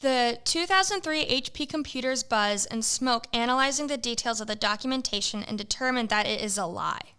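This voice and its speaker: A woman's voice: on the high side, brisk, few pauses, bright, forceful tone, clean tone. A woman narrating an amateur audio drama, reading stage directions in a matter-of-fact way.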